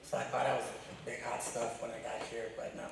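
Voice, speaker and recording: A middle-aged man, a high school wrestling coach, giving an informal, emphatic memorial tribute full of stories in a loud voice. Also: flat delivery, fairly quick, creaky. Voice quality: loud, booming. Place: large echoey room at a high school. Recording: very echoey hall, distant, faint noise.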